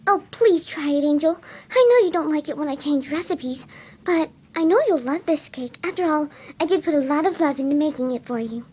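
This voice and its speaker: A woman voicing a gentle, timid winged pony who dotes on animals, soft, sweet and motherly, in a fan cartoon story reading. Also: high-pitched, animated, fairly quick. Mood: gentle, doting.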